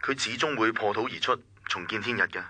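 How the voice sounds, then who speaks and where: An actor playing a caring, gently persuasive male confidant in a radio drama, with a Hong Kong Cantonese accent. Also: on the low side, a little fast, dry recording.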